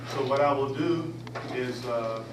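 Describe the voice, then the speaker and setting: A man chairs a community board meeting and reads out his report, halting often with fillers and restarts. He sounds far from the mic in an echoey room, with steady background noise. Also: mid-range voice. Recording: echoey, distant, noisy room recording.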